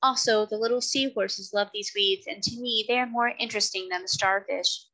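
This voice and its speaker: A gentle storybook mermaid queen voiced by a woman reader. She explains things calmly and knowledgeably in a bright voice of medium pitch. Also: moderate pace, natural voice, rough recording. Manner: calm, explanatory.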